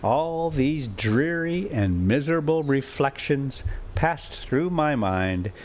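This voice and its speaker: A man reading a classic adventure novel aloud as an amateur storyteller, with dramatic, deliberate phrasing and pauses. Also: mid-range voice, lively intonation, darkish tone. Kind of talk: expressive amateur audiobook narration with casual asides.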